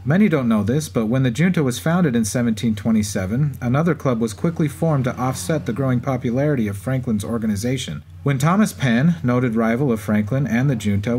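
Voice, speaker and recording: A man's voice: mid-range voice, a little mellow, natural voice. A man co-hosting a podcast with scripted banter and historical storytelling. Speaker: podcast co-host. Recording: faint background noise and ambience.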